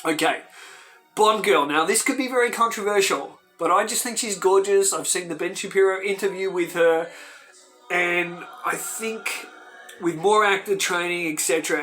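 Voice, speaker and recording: An excitable man hosts his own fan show and talks straight to camera with eager, emphatic energy. His voice sits a little higher than most men's. Faint music plays underneath him. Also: poor audio. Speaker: host of a fan video channel. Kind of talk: enthusiastic casual talk to camera.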